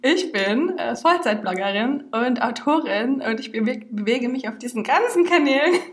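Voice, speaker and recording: A woman's voice: fairly high pitch, animated, normal speed. A woman of twenty-nine co-hosting a podcast in a casual chat, with a giggly, bubbly way of talking. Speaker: podcast co-host.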